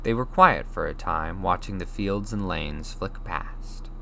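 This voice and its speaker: A man reading a children's novel aloud as expressive scripted narration. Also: deep voice, measured pace, vocal fry, a little hiss or hum. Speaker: audiobook reader.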